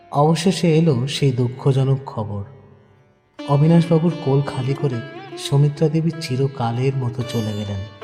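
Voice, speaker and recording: A man narrating a scripted audio drama in a dramatic storytelling style. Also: mid-range voice. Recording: long reverb under clear background music.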